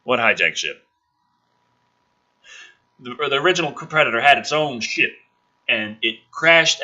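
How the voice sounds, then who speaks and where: Male review host, higher-pitched and piercingly bright, for emphatic script reading and exasperated, sweary ranting. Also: dull audio. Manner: ranting, incredulous, profane; theatrical, emphatic.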